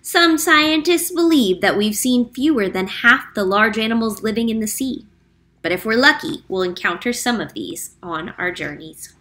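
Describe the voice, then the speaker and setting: A woman reading a picture book aloud to children as a teacher, clear and explanatory, slowing down on key facts. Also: fairly high pitch, lively intonation, a bit bright. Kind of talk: read-aloud storytime narration.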